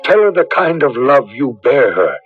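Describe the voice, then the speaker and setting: An actor playing a grave, earnest old priest in a radio drama. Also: male voice, medium pitch, sing-song, moderate pace, breathy edge, faint music behind. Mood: solemn pleading. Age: older. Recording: dull vintage radio recording.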